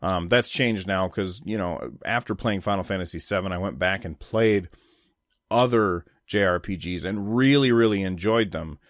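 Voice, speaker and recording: A man's voice: low voice, moderate pace, no room sound, clean studio sound. A man talking casually and informally as a solo video game commentator, rambling a little and giving his opinions. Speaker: gaming podcast host.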